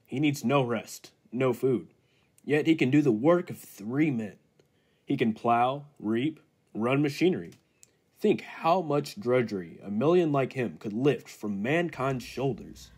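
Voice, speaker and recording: A man playing an earnest, persuasive laboratory assistant in 1940s radio style, with a husky voice. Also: medium pitch, expressive, unhurried, darkish tone. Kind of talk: 1940s radio drama acting.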